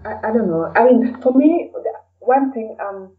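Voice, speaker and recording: A woman's voice: on the high side, expressive, moderate pace. A woman from Kenya with a Kenyan accent, chatting as an interview guest and often adding "you know" to her sentences. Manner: peppers talk with "you know". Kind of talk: casual conversational interview. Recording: distant, slightly dull sound.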